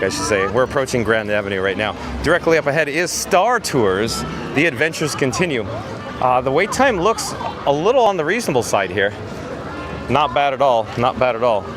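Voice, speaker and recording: A man hosting a casual live walking stream, chatty and a bit hoarse and raspy, reading the chat while juggling camera gear outdoors. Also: fairly high pitch. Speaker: livestream host. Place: outdoor theme park and ride queue. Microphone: camera rig mic.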